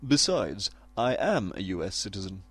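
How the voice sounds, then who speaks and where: An actor playing a droll, meddling narrator with a British accent in a comedic audio drama, sarcastic and politely formal. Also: male voice, low-pitched, sing-song, moderate pace, a bit bright, breathy edge, no room sound, heavily acted. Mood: smug.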